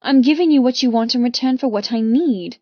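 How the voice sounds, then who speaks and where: A slightly high female voice , a narrator as a wry young heroine in a romance audiobook. She reads intimate messages in a measured way, voicing both sides. The recording is very dry and a little muffled. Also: dry recording, lightly acted. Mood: yearning, coaxing. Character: wry, yearning young woman narrating her text exchanges. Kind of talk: first-person audiobook narration, reading messages aloud.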